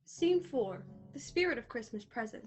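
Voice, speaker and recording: A woman's voice: a little high, animated, normal speed. A woman reading scripted stage directions as the narrator of a radio play. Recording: faint music, home video-call recording.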